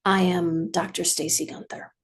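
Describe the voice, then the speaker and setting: A woman meditation teacher leading a guided practice in a measured, soothing way, with long pauses and a slightly husky voice. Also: lowish voice, level tone.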